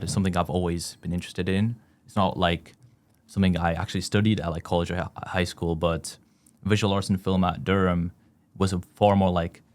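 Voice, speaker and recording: Young man, very deep voice, casual student chat, rambling with fillers. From West Yorkshire. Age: young adult. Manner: rambling, many fillers and restarts. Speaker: first-year student guest.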